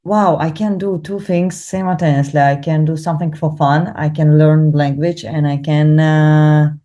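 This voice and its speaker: A young woman speaking English as a second language, halting and searching for words with many fillers as she talks about learning Hebrew. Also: low voice, steady tone, warm tone, highs cut off. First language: not English, not Hebrew. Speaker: Hebrew learner interviewed about her course. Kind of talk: casual testimonial interview.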